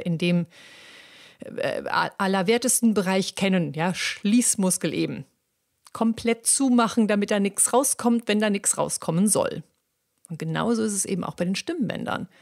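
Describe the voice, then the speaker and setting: A woman hosting a podcast, explaining how the voice works in a lively, chatty monologue with many fillers and repeats. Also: mid-range voice, gravelly. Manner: lively, acts out little dialogues in quick voices; frequent fillers and repeated words. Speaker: podcast host and voice coach.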